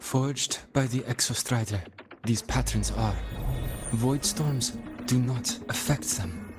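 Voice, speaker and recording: A man's voice: mid-range voice, slow pace, lightly acted. A man playing a solemn, courteous sage in a video game, speaking formally in archaic inverted phrases. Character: solemn, courteous male sage of an ancient people. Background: music.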